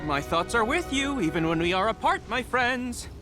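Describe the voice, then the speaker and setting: A man's voice: very high voice, sing-song, normal speed. Pompous male game scholar, smug and flowery, hugely swooping intonation, music behind. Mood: grandly fond. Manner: pompous, florid. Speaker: scholar character. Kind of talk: video game voice acting.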